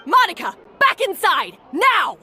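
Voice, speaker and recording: A woman's voice: extremely high pitch, very animated, unhurried, sharp tone, faint music behind, a faint crowd, exaggerated voice. An actress playing a haughty, short-tempered female head chef in a video game, bossy and imperious as she snaps out orders. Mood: furious, commanding. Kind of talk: dramatic video game voice acting.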